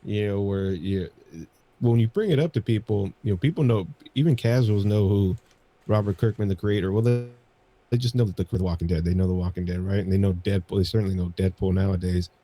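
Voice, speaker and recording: Low, mellow man chatting casually. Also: brisk. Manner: rambling, repeats phrases. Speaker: podcast co-host. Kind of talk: casual chat, telling anecdotes.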